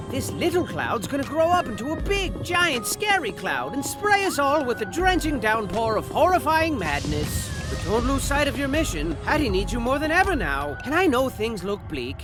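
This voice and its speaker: A man narrating a game in a theatrical comic style, full of sarcastic asides and silly sound effects. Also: very high voice. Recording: game audio with loud music.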